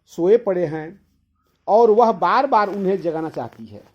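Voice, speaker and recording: A man with a somewhat high voice delivers a devotional discourse at a very slow pace. He tells the scriptural story in halting phrases that often circle back and repeat. The sound is dull and lo-fi. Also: lively intonation. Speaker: religious storyteller.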